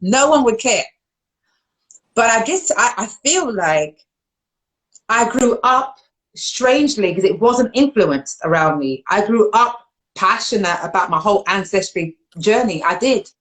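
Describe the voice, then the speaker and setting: London woman host, casual and animated, quick to laugh. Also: rough recording. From London, England. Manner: animated, laughs often, jumps up in pitch when excited. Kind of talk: casual live-stream chat.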